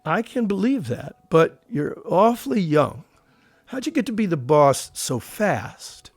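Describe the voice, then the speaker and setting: An actor playing a grown war veteran, curious yet uneasy, with a plainspoken conversational manner in an audio drama. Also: male voice, a little high, big pitch swings, unhurried, slightly rough, no echo, faint music behind, full character voice. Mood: curious, friendly.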